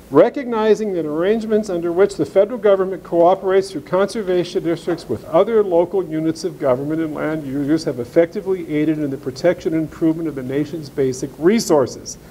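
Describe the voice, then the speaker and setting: A man's voice: on the high side, mellow, a little hiss or hum, poor audio. A man giving a blunt, sarcastic talk at a farm meeting, reading passages of law aloud and commenting on them. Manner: reads legal text aloud, then comments. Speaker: speaker at an agricultural seminar. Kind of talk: folksy presentation to ranchers.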